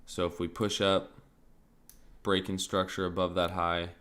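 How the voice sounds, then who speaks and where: Slow, low-voiced man, a bit raspy. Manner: relaxed, thinking aloud, many fillers like "like" and "um". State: slightly raspy, clearing his throat. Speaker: crypto trading streamer. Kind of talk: casual live-stream market commentary.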